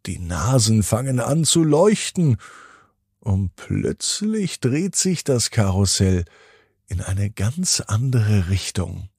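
Slow, lively male bedtime storyteller, slightly husky. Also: fairly low pitch, no echo, clean studio sound. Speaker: children's podcast host and storyteller. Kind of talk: narrating a bedtime story for children.

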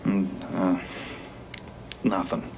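A man's voice: low voice, flat delivery, drawn-out words, noisy background, heavily acted. An actor playing a weary, guarded American convict in his thirties in a video game, terse and evasive. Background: background music.

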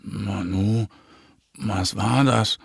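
A man's voice: on the low side, steady tone, extremely slow, vocal fry, slightly rough, no echo, clean studio sound, exaggerated voice. A man voicing a sleepy, curious, friendly little boy bear in a children's story. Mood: sleepy, puzzled.

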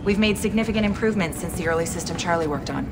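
A woman's voice: lowish voice, racing, very noisy, lightly acted. An actress playing a professional female intelligence officer in a game, matter-of-fact, clipped and controlled. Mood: serious. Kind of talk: cinematic game acting. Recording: loud music behind dialogue.

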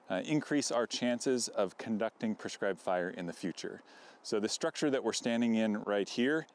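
A man's voice: fairly low pitch, darkish tone, smooth. A middle-aged American man, a researcher explaining concepts to camera outdoors. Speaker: forest researcher. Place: outdoors in a forest.

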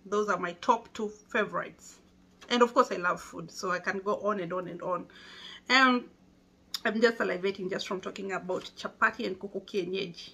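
A woman's voice: mid-range voice, moderate pace, vocal fry, sharp tone, breathy edge. A young Kenyan woman with a Kenyan accent, talking casually to camera in a small indoor room and patiently explaining things. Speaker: vlogger.

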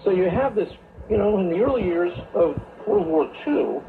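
A man hosting a radio talk show, speaking in a deliberate, reflective monologue with hesitant phrasing. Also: high-pitched, big pitch swings, vocal fry. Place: radio studio, heard through a shortwave receiver. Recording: noisy shortwave radio broadcast, tinny narrow band.

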